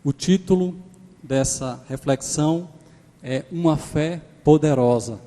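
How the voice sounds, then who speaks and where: A man's voice: fairly high pitch, slow pace, mellow. Pernambuco-accented man preaching in a church. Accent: Pernambuco Portuguese mixed with Maranhão. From Pernambuco, Brazil. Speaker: guest preacher. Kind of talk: sermon. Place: large church sanctuary. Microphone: handheld microphone. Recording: church hall reverb.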